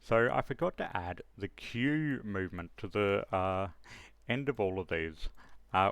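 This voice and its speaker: Male tutorial presenter, lowish pitch, informal step-by-step explainer delivery. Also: a bit bright. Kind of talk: casual screen-recorded software tutorial narration.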